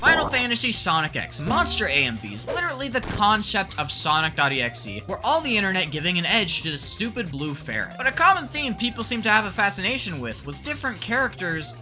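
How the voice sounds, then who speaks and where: A woman's voice: medium pitch, big pitch swings, brisk, few pauses, over music. A woman hosting a scripted comedic video essay in a theatrical, sarcastic, mock-grandiose manner.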